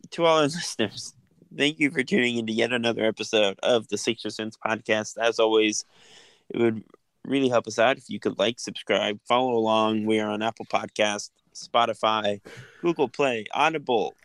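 Podcast host, male, lowish clear voice, dry close mic, relaxed chatty delivery. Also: normal speed, dry recording. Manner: easygoing, filler-heavy, frequent restarts. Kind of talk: casual sports podcast chat.

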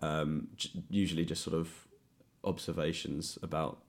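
Low, halting young British man. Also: flat delivery, gravelly. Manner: tentative, hedging, halting. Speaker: podcast host. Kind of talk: casual interview chat. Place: in a home.

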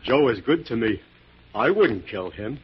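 A husky, naive male farmhand in an old radio drama, timid and halting with rustic phrasing. The 1940s recording is thin and phone-like. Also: medium pitch, lively intonation. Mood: defensive, naive. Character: simple, fearful male country handyman.

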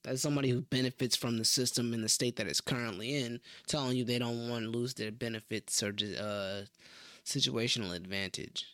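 A blunt, opinionated man making his case in a podcast conversation. His tone is bright and forceful at a middle pitch. The recording is dry, with no room echo. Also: steady tone, brisk. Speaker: podcast co-host. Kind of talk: casual chat.

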